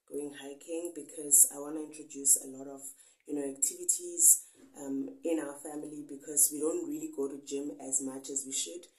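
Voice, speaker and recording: A woman's voice: low-pitched, mellow, roomy sound, distant mic, poor audio. A young woman from South Africa chatting upbeat and directly to viewers in a casual vlog, filmed on a camera in front of her in a guest house bedroom. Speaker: vlogger.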